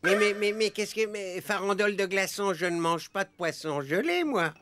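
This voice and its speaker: A man's voice: high voice, lively intonation, normal speed, a bit bright, no echo. A man voicing a fussy, mannered shopkeeper in a children's cartoon. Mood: exasperated. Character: fussy, courteous male shopkeeper, easily exasperated.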